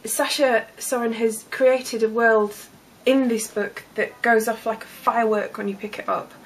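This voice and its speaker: A woman with a fairly high voice reviews a book. There is slight noise in the background as she speaks. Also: fairly even intonation, measured pace, poor audio.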